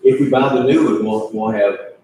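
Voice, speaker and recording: A man's voice: fairly low pitch, moderate pace, mellow. Man in a very echoey room. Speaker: judge presiding over county commissioners meeting. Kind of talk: informal public meeting discussion. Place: public meeting room. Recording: echoey meeting room, distant mic, faint noise.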